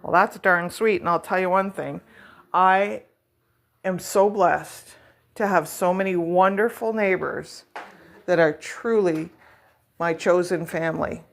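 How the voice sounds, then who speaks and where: A woman's voice: lowish voice, unhurried, creaky. A middle-aged woman chatting casually at home as a vlog host, rambling and self-deprecating while she decorates.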